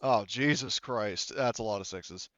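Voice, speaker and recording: A man narrating as game master in a casual tabletop roleplaying session, thinking aloud haltingly and swearing mildly. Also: lowish voice, muffled recording, noisy background.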